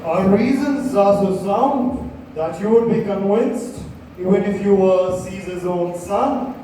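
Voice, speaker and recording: A young man playing an earnest, reasoning Roman noble in a school play, formal and measured. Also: high-pitched, warm tone, a little hiss or hum. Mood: calm, firm. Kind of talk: school stage play acting. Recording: distant mic in echoey hall.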